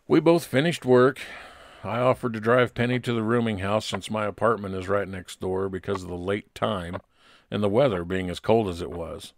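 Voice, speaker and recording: A man's voice: fairly low pitch, fairly even intonation, normal speed, dry recording, clean studio sound. A man narrating a scripted first-person private investigator story in old-time radio detective style.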